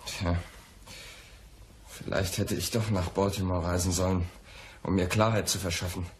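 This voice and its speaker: An actor playing an anxious, spoiled young poet in a radio crime drama, speaking in an agitated, halting way. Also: male voice, low voice, fairly even intonation, slight background noise, natural voice. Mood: worried, subdued. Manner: agitated, halting, repeats words. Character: anxious, spoiled young poet in his twenties, pleading lover.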